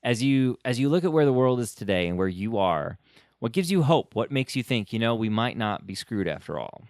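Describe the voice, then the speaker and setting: A man's voice: medium pitch, sing-song, fairly quick, darkish tone, dry recording, studio quality. A man hosting a podcast in a casual, conversational way, chatty and enthusiastic. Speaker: podcast host.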